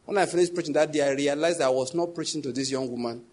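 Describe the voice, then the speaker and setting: A man's voice: on the high side, fast talker, darkish tone. A middle-aged Nigerian man with a Nigerian English accent, preaching a sermon in a conversational, humorous way. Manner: conversational, humorous, laughs at his own jokes. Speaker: pastor.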